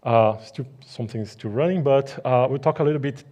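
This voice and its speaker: A man's voice: medium pitch, dark tone, lo-fi sound. A man from Brazil, speaking English with a Brazilian Portuguese accent, gives a technical conference talk with many fillers and restarts. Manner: halting, with frequent fillers and restarts. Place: conference hall.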